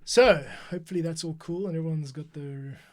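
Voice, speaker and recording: A man's voice: on the high side, brisk. A man giving a casual editing tutorial, thinking aloud and muttering to himself as he works at his keyboard. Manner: muttering to himself while working. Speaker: podcast editor giving a tutorial. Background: keyboard typing and mouse clicks.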